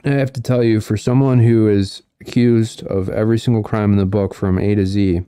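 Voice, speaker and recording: A man hosting a casual commentary stream, reading out viewer comments in a monotone way. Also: lowish voice, fairly quick, clear, resonant tone, no echo, soft background music. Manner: monotone delivery. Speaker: host.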